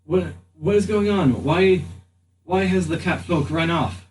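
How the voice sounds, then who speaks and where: A man's voice: high-pitched, unhurried, voice pushed up. A man voicing a commanding rider creature in a tabletop game, urgent and formal in phrasing. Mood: urgent, demanding. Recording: slightly distant mic, faint noise.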